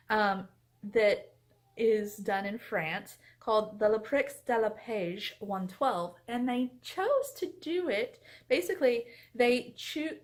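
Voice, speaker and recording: A woman chats casually to her viewers as a book vlogger, gushing and leaning on her words. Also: on the high side. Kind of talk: casual vlog chat to viewers.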